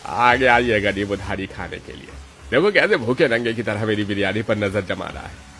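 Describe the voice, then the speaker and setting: A man's voice: lowish voice, animated, normal speed, fairly bright tone. Man playing a scornful, stingy village grocer. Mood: scornful muttering. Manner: gruff, scornful, blunt. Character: stingy, rude, gloating male village grocer. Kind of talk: Hindi animated moral story acting.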